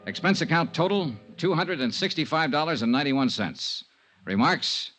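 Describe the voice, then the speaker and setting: A man's voice: on the high side, expressive, moderate pace, fairly bright tone, faint music behind, low-quality recording. An actor in a 1950s radio drama playing a hard-boiled, principled male insurance investigator who speaks and narrates in a terse, dry, clipped way. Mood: brisk, wry.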